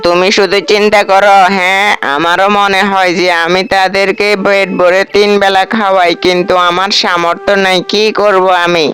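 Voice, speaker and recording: A man's voice: high voice, little pitch movement, moderate pace, sharp tone. A man voicing a poor, self-pitying father in a fairy-tale cartoon. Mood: defensive, upset. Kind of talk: amateur cartoon dubbing. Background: music.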